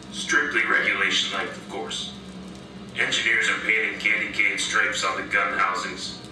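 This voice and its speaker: Male actor as frontline sci-fi war reporter, wry and gung-ho, monotone, distant echoing noisy transmission. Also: fairly low pitch, close to an everyday voice. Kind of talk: sci-fi radio drama field report.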